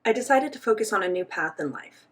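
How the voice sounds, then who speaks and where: A woman voicing the interpretation of a signed interview, speaking for both host and guest in a measured first-person delivery. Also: medium pitch, lively intonation, fairly bright tone, slightly rough.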